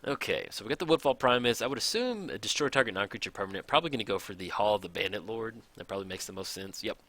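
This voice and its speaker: A man casually commenting on a card game match, thinking aloud, his voice a little raspy from hours of recording. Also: on the low side, expressive, very fast, no echo. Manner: chatty, thinks aloud, counts out loud. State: slightly raspy from long recording. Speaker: gameplay commentator.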